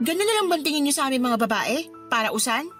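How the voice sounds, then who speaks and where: A woman's voice: high voice, big pitch swings, quick pace, fairly bright tone, soft background music. A woman playing a hurt, stubborn young girlfriend in a radio drama, with a pouty, reproachful manner. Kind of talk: Tagalog radio drama acting.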